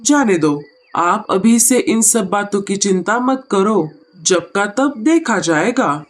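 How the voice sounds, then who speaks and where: A woman's voice: medium pitch, lively intonation, slow. A woman voicing an indulgent mother bird queen in a cartoon story, coaxing and protective. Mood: reassuring. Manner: coaxing, protective of her child. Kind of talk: Hindi cartoon story acting.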